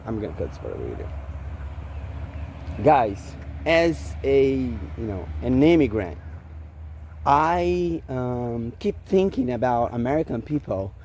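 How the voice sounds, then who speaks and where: A man in his forties from Brazil, with a Brazilian Portuguese accent and Portuguese as his first language, vlogging casually outdoors after a jog, halting and searching for words with many fillers. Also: medium pitch, sing-song, a little mellow, a little hiss or hum. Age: 40s. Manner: just back from a jog. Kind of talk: casual vlog to camera. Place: outdoors in a park.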